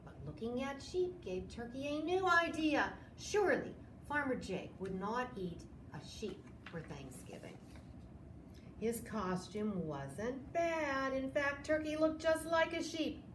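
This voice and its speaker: A woman in her sixties hosting a children's storytime, reading a picture book expressively with drawn-out dramatic phrases. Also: high-pitched, animated, fairly bright tone. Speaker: library storytime host. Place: small indoor room, seated. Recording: roomy echo, clear background noise.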